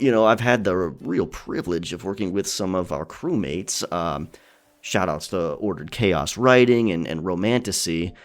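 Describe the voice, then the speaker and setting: A man playing a friendly, caring pirate captain in a soft, chatty, encouraging ASMR check-in. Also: low voice. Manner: encouraging, chatty, hesitant, chuckling often. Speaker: ASMR storyteller and streamer.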